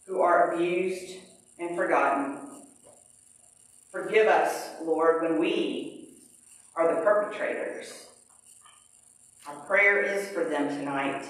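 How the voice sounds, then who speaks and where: A middle-aged woman pastor leading a prayer and reading scripture in a measured liturgical way, at a pulpit in a large church. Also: lowish voice. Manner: slow, measured liturgical delivery. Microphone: pulpit mic, far camera. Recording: very echoey sanctuary, distant camera.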